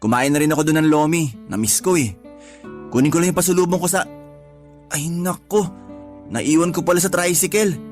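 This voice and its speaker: A put-upon young tricycle driver, acted by a man with a fairly high voice. He is doting yet exasperated, pleading his way through a radio love drama. Light music plays underneath. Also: a little fast, long pauses, lightly acted. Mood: cheerful, doting. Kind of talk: Tagalog radio drama acting.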